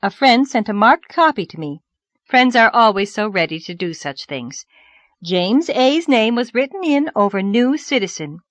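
A woman voicing a tiny, brisk, bossy wife of nearly fifty in an audiobook, chirping and self-assured. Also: fairly high pitch, big pitch swings, no room sound. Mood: knowing, indignant. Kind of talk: audiobook character voice.

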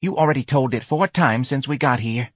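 A man's voice: mid-range voice, fairly quick, breathy edge, dry recording, clean studio sound, pitched above the performer's own voice, lightly acted. A man voicing a tipsy, folksy mother of a grown son, chatting in a bar. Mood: coaxing.

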